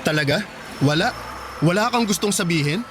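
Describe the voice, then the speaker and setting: A man's voice: high voice, expressive, moderate pace, background noise. An actor playing an insistent, lovesick young male suitor in a Filipino radio drama. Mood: insistent, pressing. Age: young adult. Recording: loud music under the voice.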